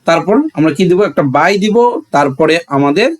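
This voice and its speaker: Male teacher, Bangladeshi accent, fairly high voice. Didactic classroom delivery with repeated key terms and frequent check questions. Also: animated, moderate pace, slight background noise. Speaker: English grammar teacher. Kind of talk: step-by-step classroom lesson.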